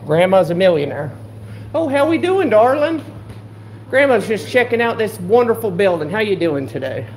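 A man's voice: sits high, sing-song, normal speed, rough recording. A man putting on a meddling, syrupy old grandma persona for a livestream, calling everyone darling and speaking of himself as Grandma. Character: meddling sugary old grandma, elderly woman. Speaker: livestreamer filming public buildings. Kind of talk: trolling livestream banter. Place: indoor public building. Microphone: handheld phone camera.

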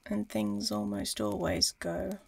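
Casting: woman to play an ancient female dragon for fantasy audio roleplay; unhurried, gravelly half-whisper, aloof yet teasing and curious. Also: medium pitch, very animated, heavily acted. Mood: intrigued, pondering. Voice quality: breathy, often whispering. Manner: slow, measured, musing.

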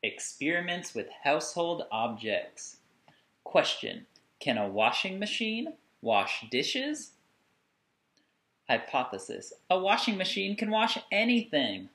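A man in his thirties reading a picture book aloud to children with deliberate, singsong phrasing and dramatic emphasis. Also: on the high side, sing-song, sharp tone. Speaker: children's story reader. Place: small furnished room at home, indoors. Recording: roomy indoor echo.

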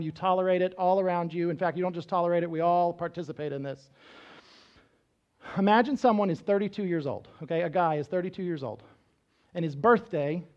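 A man's voice: sits high, darkish tone, highs cut off. A man in his thirties, a pastor giving a sermon on a church stage, teaching in a conversational way and often checking in with "right?". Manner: walks around the stage gesturing. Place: church stage with band instruments.

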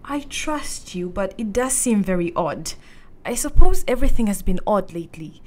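A woman playing a sweet, trusting young lady in a radio drama, genteel and gently teasing. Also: medium pitch, lively intonation, moderate pace, close to an everyday voice. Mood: trusting, cheerful.

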